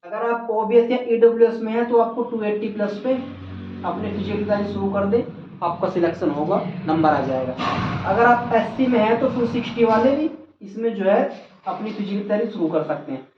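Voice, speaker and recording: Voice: mid-range voice, normal speed, background noise. Echoey, muffled explainer voice. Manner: instructive, repeats key figures for emphasis. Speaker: exam advice presenter. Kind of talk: informal explainer addressed to exam candidates. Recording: muffled, echoey room recording.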